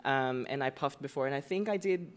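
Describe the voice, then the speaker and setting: A man's voice: medium pitch, brisk, slight background noise. A man with a cough, talking on a stage panel in a rambling, self-deprecating way. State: has a cough. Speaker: panelist, screen representation expert. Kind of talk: casual panel talk. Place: theatre stage before an audience.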